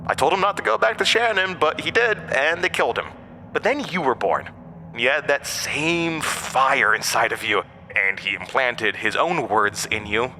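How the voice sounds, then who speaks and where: An actor playing an ancient, wounded supernatural outcast, manipulative and bitter, with taunting, dramatic delivery in a horror audio drama. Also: male voice, mid-range voice, sharp tone, full character voice. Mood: persuasive, menacing. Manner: taunting, dramatic storytelling with swooping emphasis. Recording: long cavernous reverb, faint noise.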